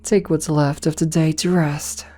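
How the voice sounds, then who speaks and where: A woman acts out a seductive, domineering lady CEO for an ASMR roleplay. Her voice is low, dark and mellow, soft and breathy to the edge of a whisper. She talks slowly and hypnotically, coaxing the listener with condescending, possessive affection. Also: fairly even intonation, faint music behind. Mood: calm, satisfied. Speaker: voice actor in an audio roleplay. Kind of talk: ASMR roleplay, intimate slow address to listener.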